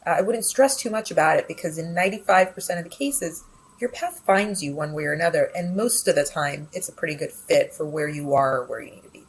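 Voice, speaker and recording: A woman's voice: low voice, quick pace, slightly rough, poor audio. A woman who grew up speaking Spanish at home, talking reflectively as an interview guest, with frequent fillers and restarts. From Texas, USA. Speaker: guest, business editor. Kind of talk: conversational interview.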